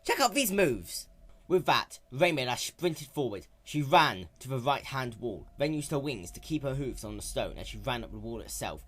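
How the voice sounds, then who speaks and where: A man's voice: mid-range voice, expressive, moderate pace, hardly any pauses, fairly bright tone, breathy edge. A man narrating a scripted story as a dramatic reading. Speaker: narrator and reader.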